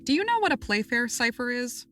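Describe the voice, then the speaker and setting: A woman's voice: a little high, big pitch swings, fairly quick, fairly bright tone, recorded from a distance, faint music behind. A woman playing a blunt, probing podcast investigator in naturalistic audio drama dialogue.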